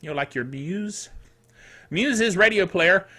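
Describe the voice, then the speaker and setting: A man hosting a casual tech news show in a chatty, jokey, digressive way, with a throat that is getting rough. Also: high voice, animated, slow, pushed and bright. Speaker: technical director for radio stations. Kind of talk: casual scripted tech news commentary with asides.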